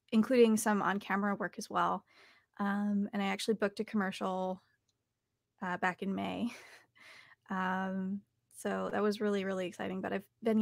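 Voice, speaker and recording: A woman's voice: medium pitch, vocal fry, dry recording, studio quality. A young adult woman, talking casually and reflectively as an interview guest, thinking aloud as she goes. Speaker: interview guest, audiobook narrator and voice actor. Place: home studio, indoors.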